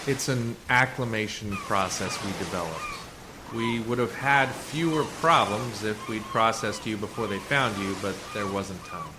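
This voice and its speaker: A man playing a calm, assured undercover rescuer in a sci-fi radio play, speaking in a measured, explanatory way. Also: on the low side, close to an everyday voice. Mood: calm, explaining. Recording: noisy community radio drama recording.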